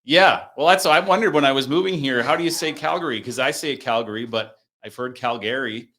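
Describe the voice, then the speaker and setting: A man's voice: mid-range voice, brisk, sharp tone. A man from the East Coast of Canada, a guest on a podcast interview, talking in a friendly way, laughing easily and correcting himself as he goes. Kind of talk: casual interview chat.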